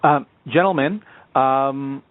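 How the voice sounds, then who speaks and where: A man's voice: mid-range voice, slow pace, dry recording. A man asking questions as an analyst on a conference call, speaking hesitantly and circling back to rephrase, over a muffled phone line.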